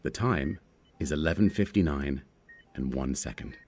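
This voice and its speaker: A man's voice: rumbling low, moderate pace, darkish tone. A man narrating a screenplay, reading out scripted scene descriptions.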